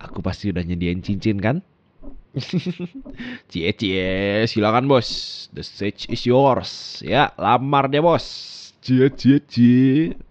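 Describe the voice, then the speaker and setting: A man's voice: on the low side, slow pace, dry recording, highs cut off. A man playing a tender young boyfriend speaking in a taped message for an ASMR roleplay, heartfelt and teasing. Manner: soft, heartfelt, teasing with laughs.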